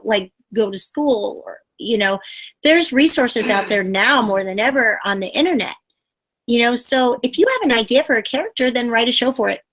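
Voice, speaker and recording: Rambling woman puppeteer, slightly high voice. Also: fairly bright tone, poor audio. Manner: rambling, lots of fillers and restarts. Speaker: puppeteer, interview guest. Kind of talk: casual interview chat.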